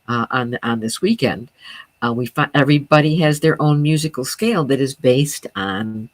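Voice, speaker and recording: A woman with a notably low speaking voice talks as a guest about her sound healing teaching. She explains in an earnest, steady way, often pausing on fillers and restarting phrases. The recording is dry, with no room echo.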